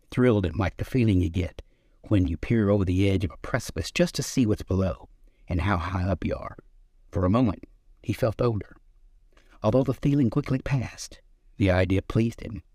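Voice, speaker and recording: A man's voice: lowish voice, warm tone, no room sound, studio quality. A man reading his own literary short story as its narrator.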